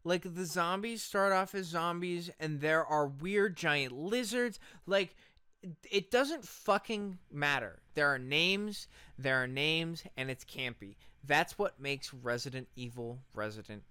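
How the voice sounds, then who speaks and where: A man's voice: fairly high pitch, a little slow, sharp tone, smooth, no echo. A man co-hosting a podcast, chatting casually and ranting in an emphatic, profane, argumentative way. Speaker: podcast co-host. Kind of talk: casual chat, opinionated ranting.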